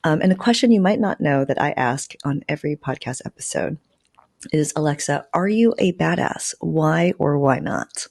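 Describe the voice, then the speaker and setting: A woman's voice: low-pitched, lively intonation, normal speed, vocal fry, a little mellow. A woman hosting a podcast, interviewing casually in an enthusiastic, affirming way. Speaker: podcast host.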